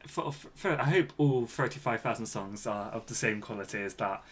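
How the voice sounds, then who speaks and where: A man's voice: fairly low pitch, normal speed. A man co-hosting a podcast, chatting casually and responding to his partner. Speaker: podcast co-host. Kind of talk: casual chat, reacting to co-host.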